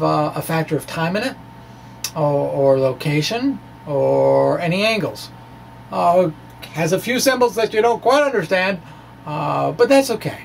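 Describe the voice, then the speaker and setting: A middle-aged man gives an informal explanatory physics talk in a chatty, halting way, with frequent asides. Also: high voice. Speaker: science blogger presenting a physics lecture. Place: small indoor room with bookshelves. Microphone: handheld-style microphone on a stand in front of him. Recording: clear background noise, room ambience.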